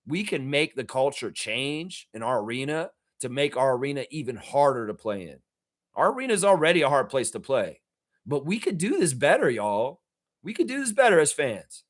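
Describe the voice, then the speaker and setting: A man hosting a fan reaction show, raspy from yelling at a game, ranting emphatically with swearing and repeated phrases. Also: a little high. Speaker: fan channel host. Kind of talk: fired-up fan reaction rant.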